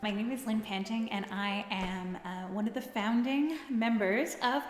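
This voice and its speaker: A woman's voice: mid-range voice, a little slow, slight background noise. A woman talking casually in an interview as a theatre performer. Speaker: theatre company founder and performer. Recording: echoey, reverberant hall.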